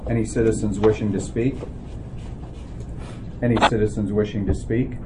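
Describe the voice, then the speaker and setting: A man's voice: fairly low pitch, fairly even intonation, moderate pace. A man chairing a county committee meeting, calling it to order and running through the agenda in a procedural, businesslike way. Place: county board meeting room. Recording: noisy meeting room recording.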